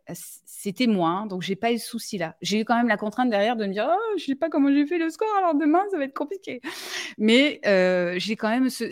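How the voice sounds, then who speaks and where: A woman hosting a live talk, speaking directly and plainly, often restarting her sentences. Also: medium pitch. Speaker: live show host. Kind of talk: casual live discussion.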